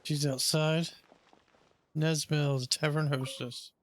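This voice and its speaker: A man's voice: a little high, little pitch movement, takes it slowly, a little hiss or hum. A man giving casual video game commentary in short, reactive asides. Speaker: gamer commenting on playthrough.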